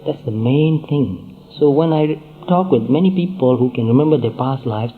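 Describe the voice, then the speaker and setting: A man teaching Buddhist dharma at a mid pitch, unhurried and measured. He builds his points through repeated phrases and pauses. The sound is thin and phone-like. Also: slight background noise. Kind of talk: dharma talk.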